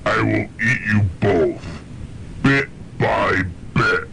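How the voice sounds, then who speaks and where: An actor playing a menacing, man-eating demon with a drawn-out, threatening growl. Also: animated, gravelly, exaggerated voice. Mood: menacing, gloating. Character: menacing demon that hungers to devour people. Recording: noisy amateur student radio recording, rumble.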